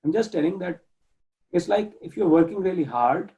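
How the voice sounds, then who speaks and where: Man with a Pakistani accent, 30s, earnest guest on a video call; slightly high, gravelly voice, dull lo-fi audio. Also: lively intonation, normal speed, mellow. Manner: earnest, restarts words while searching for English phrasing. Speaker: guest, project manager and nonprofit volunteer. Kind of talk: casual interview talk. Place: home room, indoors.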